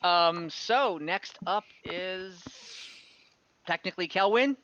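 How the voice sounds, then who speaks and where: Bright-voiced, halting game master. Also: medium pitch. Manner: halting, improvised delivery. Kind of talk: casual narration of an online tabletop game. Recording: dull, dry online call audio.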